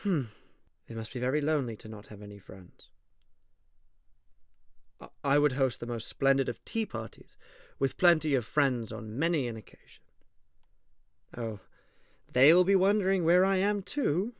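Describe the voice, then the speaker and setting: A man's voice: medium pitch, expressive, moderate pace, long pauses, no room sound, clean studio sound, natural voice. A man playing a fussy, genteel, timid plant creature in a fantasy audio drama, prim and easily flustered. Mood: sheepish. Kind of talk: scripted audio drama acting.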